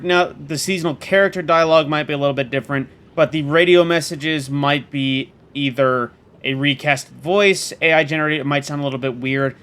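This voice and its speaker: A man's voice: mid-range voice, a bit bright. A man giving informal commentary as the narrator of a gaming channel. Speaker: video game channel narrator. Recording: clear background noise.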